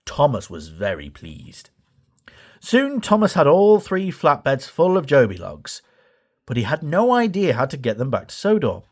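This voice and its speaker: A man reading a children's story aloud with animated, expressive narration. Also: a little high, unhurried. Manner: animated, acts out dialogue. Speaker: storybook reader.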